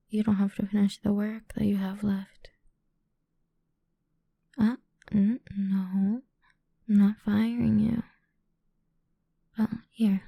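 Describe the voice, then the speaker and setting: A woman playing a cruel, condescending female boss in an ASMR roleplay, speaking deliberately and often slipping into a whisper close to the microphone. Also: mid-range voice, dark tone, dry recording, full character voice. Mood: coaxing, controlling. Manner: condescending, deliberate, drawn-out delivery with breathy whispers. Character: cruel, domineering female boss toying with an employee. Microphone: close microphone, dry.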